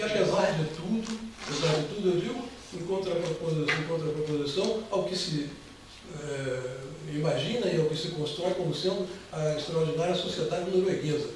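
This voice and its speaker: A man's voice: fairly high pitch, brisk, a little hiss or hum. A Brazilian man with a Brazilian Portuguese accent giving discursive, formal remarks on a conference panel, full of hesitations and asides. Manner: discursive, many hesitations and asides, recites verse. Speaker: panel speaker. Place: large conference hall. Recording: very echoey hall, voice from far off.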